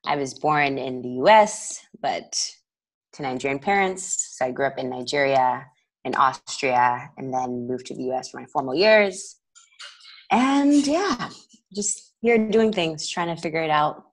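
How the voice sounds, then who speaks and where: A woman in her twenties introducing herself in a relaxed, breezy way. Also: very deep voice, unhurried. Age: 20s. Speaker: podcast guest.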